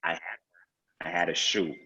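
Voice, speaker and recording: A man's voice: deep voice, flat delivery, moderate pace, sharp tone. A man who teaches the arts, talking earnestly as a podcast guest and filling his speech with "you know.". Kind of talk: casual conversation. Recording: dull lo-fi sound.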